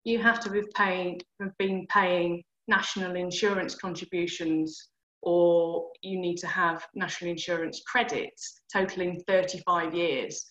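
Casting: woman guest with a bright, tense voice, clear explainer, dull and roomy recording. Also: lowish voice, flat delivery, measured pace. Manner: clear, practical, explaining step by step. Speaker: personal finance guest. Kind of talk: explanatory advice in conversation. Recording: dull echoey room sound.

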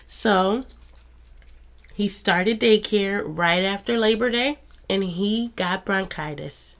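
A woman's voice: mid-range voice, takes it slowly. A woman in her thirties hosting a faith podcast, talking in a chatty, encouraging way while stuffy from a cold. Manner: warm, chatty and encouraging, with soft laughs. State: congested from a cold. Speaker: podcast host. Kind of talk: casual faith podcast monologue.

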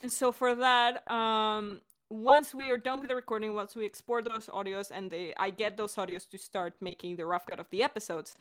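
A woman co-hosting a casual question-and-answer chat as a director, explaining things in an animated way. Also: mid-range voice. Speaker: podcast director and co-host.

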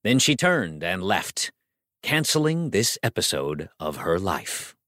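A man's voice: low voice, very animated, slow pace. A man narrating a scripted comic novel as a dramatic audiobook reading.

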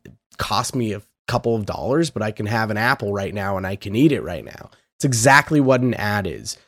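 A young man hosting a casual podcast, his voice hoarse and raspy from yelling, talking loosely and energetically and swearing now and then. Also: lowish voice, animated, brisk, dry recording. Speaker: podcast host.

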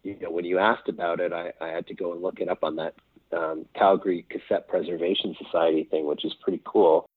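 A man's voice: low voice, fairly even intonation, a little fast, slightly rough, dry recording. A man from Quebec, a songwriter, chatting casually in an interview, hesitant and rambling with many fillers. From Quebec, Canada. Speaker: songwriter guest. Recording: tinny phone-like line, dry.

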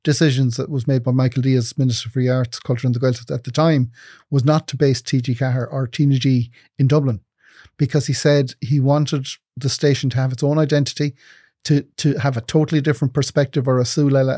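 A man in his fifties, from England, answers at length in an interview. His voice is warm and dark, recorded close with no room sound. He explains things in an articulate, measured way. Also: mid-range voice, little pitch movement, dry recording. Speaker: broadcasting executive guest.

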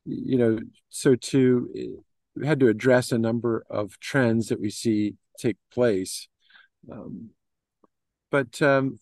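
A man's voice: lowish voice, darkish tone, no room sound. A middle-aged man answering questions in an informal business interview, with hesitant, meandering phrasing full of filler phrases. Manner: hesitant, meandering phrasing with many you-knows. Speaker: interview guest, investment firm executive.

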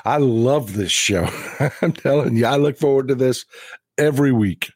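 A man with a cold and a slightly hoarse voice, telling a travel story enthusiastically as a podcast guest. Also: mid-range voice, moderate pace, a little mellow, no echo, clean studio sound. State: has a cold, voice a bit hoarse. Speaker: regular guest. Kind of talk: casual podcast chat.

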